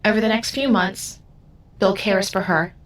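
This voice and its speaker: An actress playing an otherworldly, protective female guide through time in an audio drama, speaking in a solemn, measured way. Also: medium pitch, fairly even intonation, natural voice. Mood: solemn, tender. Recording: music under the voice. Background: music.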